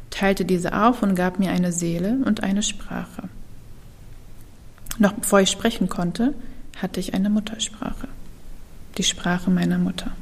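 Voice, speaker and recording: A young woman whose first language is Kurdish performs spoken-word poetry, slow and deliberate, often dropping to a whisper. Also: medium pitch. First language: Kurdish (Kurmanji). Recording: reverberant hall.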